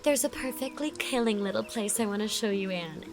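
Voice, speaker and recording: A woman's voice: mid-range voice, a little fast, faint music behind, lightly acted. A woman playing a chatty, effusive young society woman in an audiobook drama, leaning on words for dramatic emphasis. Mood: enthusiastic.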